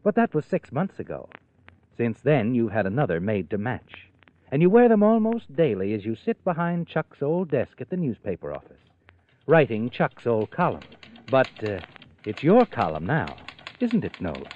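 An actor playing a sardonic, knowing narrator who addresses the listener's guilty conscience in a vintage radio mystery. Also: male voice, mid-range voice, wildly swooping pitch, normal speed. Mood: sardonic, ominous. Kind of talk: 1940s radio mystery narration, second person. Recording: narrow-band old radio, music behind.

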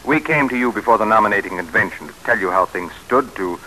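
A man's voice: lowish voice, animated, fast talker, bright, forceful tone, rough recording. A man acting in an old radio drama as a blunt but apologetic 19th-century party spokesman, speaking formally. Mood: frank. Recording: hissy vintage radio drama recording.

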